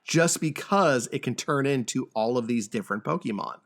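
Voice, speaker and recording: A man hosts a relaxed, conversational podcast about films. His delivery is halting, with stammered starts and repeated words as he works toward his point. His voice sits at an ordinary middle pitch for a man. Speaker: podcast host. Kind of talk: casual film discussion podcast.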